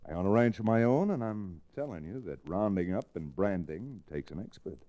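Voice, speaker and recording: An actor playing a confident, educated American Western hero in an old-time radio drama, assured and plain-spoken. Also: male voice, low voice, animated, a little fast, mellow, lo-fi sound. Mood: calm, bargaining. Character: confident, educated Western lawyer-rancher hero, shrewd and unflappable. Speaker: radio actor, lead and narrator. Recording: restored vintage radio recording.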